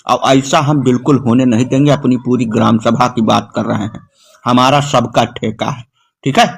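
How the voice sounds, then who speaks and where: A man's voice: medium pitch, fairly even intonation, moderate pace, vocal fry, husky. A man playing an assertive, intimidating villager in a comedy cartoon, curt and commanding, with a rural North Indian Hindi accent. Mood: menacing.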